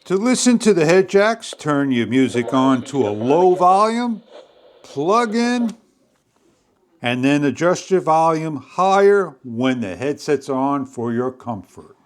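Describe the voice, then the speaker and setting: Slow, swooping male product demonstrator. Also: on the high side, big pitch swings. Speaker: product reviewer. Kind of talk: step-by-step product demonstration narration.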